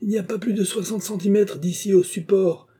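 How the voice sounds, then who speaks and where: A man's voice: medium pitch, little pitch movement, normal speed, dark tone, slightly rough. A man voicing an army officer who gives commanding, urgent orders. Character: brave male army officer rallying people.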